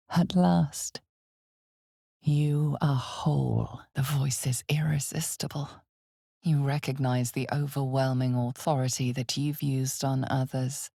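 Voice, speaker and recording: A woman playing an ominous, knowing narrator in a dark fantasy video game, with a husky, gravelly voice and measured, hushed, theatrical delivery. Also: deep voice, no echo, clean studio sound, heavily acted. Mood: hushed, intense. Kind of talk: dramatic scripted second-person fantasy game narration.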